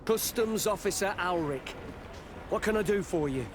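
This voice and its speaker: A man's voice: high-pitched, expressive, normal speed. A man playing an evasive, beleaguered customs officer in a video game, hesitant, stammering and deferential. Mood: guarded, nervous. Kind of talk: video game cutscene acting. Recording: noisy outdoor ambience behind the voice.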